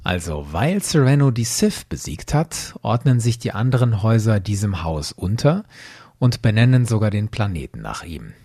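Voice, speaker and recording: A man's voice: fairly low pitch, sing-song, normal speed, warm tone, husky, no room sound, studio quality. A man hosting a podcast, explaining in a didactic way with emphatic phrasing. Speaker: podcast host.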